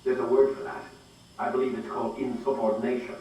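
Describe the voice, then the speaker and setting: An actor playing a condescending, impatient scientist boss in a video game, clipped and sarcastic, heard in a muffled echoing room. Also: male voice, medium pitch, flat delivery, quick pace, mellow, close to an everyday voice. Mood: irritated. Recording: muffled, echoing room, distant, faint noise.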